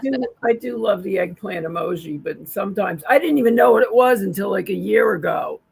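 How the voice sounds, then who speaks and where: Gravelly, husky chatty woman. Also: medium pitch, a little fast, poor audio. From New Jersey. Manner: chatty, animated storytelling. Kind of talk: casual livestream chat.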